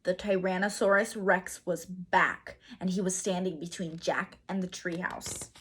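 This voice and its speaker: A woman reading a children's story aloud as a teacher, with expressive, dramatic emphasis. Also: lowish voice, husky. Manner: dramatic emphasis, pauses to quiz listeners.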